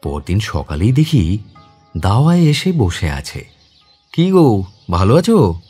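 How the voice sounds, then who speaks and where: A man's voice: fairly low pitch, huge pitch swings, takes it slowly, dark tone, slightly rough, faint music behind, heavily acted. An actor playing a kind, reflective man visiting his home village, narrating the dramatised story himself. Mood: kindly, curious. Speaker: narrator and lead character.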